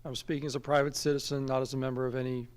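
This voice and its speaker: A man speaking earnestly and deliberately as a member of the public at a local meeting, arguing his point at a microphone. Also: mid-range voice, level tone, clean tone. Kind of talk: public comment speech at a local government meeting. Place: town committee meeting room. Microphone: standing at a public microphone.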